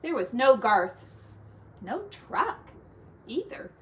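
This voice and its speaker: A woman teacher reading a children's story aloud in an expressive storytelling style. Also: high-pitched, takes it slowly.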